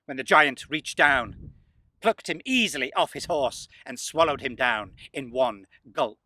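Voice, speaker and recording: A man narrating a folktale as a storyteller, theatrical and emphatic, with suspenseful pauses. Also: medium pitch, expressive, bright, forceful tone, no room sound.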